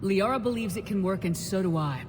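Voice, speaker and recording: A woman's voice: medium pitch, animated, fairly quick, darkish tone, slight background noise, lightly acted. An actress playing a resolute female military commander in a game, speaking firmly and earnestly. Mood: urgent, resolute. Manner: firm, earnest. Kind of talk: dramatic game dialogue. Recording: reverberant hall sound, music behind.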